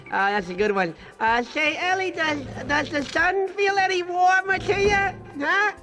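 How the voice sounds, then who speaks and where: A clumsy cartoon sloth for a game. The voice is very high and swings widely, with a nasal lisp. He rambles slowly and dopily over background music. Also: expressive, heavily acted. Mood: sly, rambling. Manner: dopey, hesitant, rambling. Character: goofy, clumsy male ground sloth, eager and dim. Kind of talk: animated game cutscene acting.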